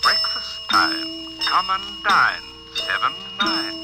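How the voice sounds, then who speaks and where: An actor playing an automated talking house clock in a radio drama, giving sing-song rhyming announcements. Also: male voice, a little high, sing-song, takes it slowly, loud music behind the voice. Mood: chirpy. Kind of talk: 1950s radio drama acting.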